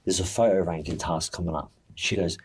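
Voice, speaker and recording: A man with a low voice talks as a reality TV contestant being interviewed. His delivery is casual and candid, full of colloquial storytelling and quoted dialogue. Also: fairly even intonation, fairly quick, darkish tone, a little hiss or hum.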